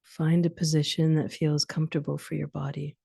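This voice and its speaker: A woman guides a sleep meditation in a low, mellow voice with a gravelly creak. She gives soothing instructions in short phrases, leaving long pauses, and her phrase endings turn soft and breathy. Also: little pitch movement, dry recording, studio quality.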